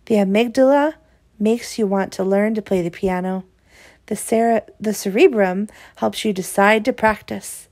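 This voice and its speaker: A woman's voice: medium pitch, measured pace, a little mellow. A woman reading a children's picture book aloud, explaining it expressively to young listeners. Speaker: read-aloud presenter.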